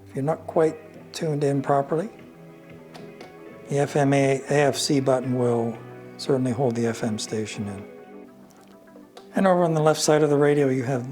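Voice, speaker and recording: An older man presents a hands-on product walkthrough in a medium-pitched voice. He explains things casually and without hurry, pausing partway through sentences, with faint music in the background. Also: steady tone, mellow. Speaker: vintage radio reviewer.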